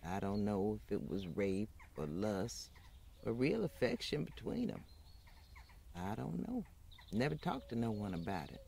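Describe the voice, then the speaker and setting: A woman playing an outspoken, unapologetic former maid, telling her story in a folksy, plainspoken way with dramatic pauses, in old-time radio style. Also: rumbling low, big pitch swings, gravelly, warm tone, a little hiss or hum, exaggerated voice. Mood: reflective.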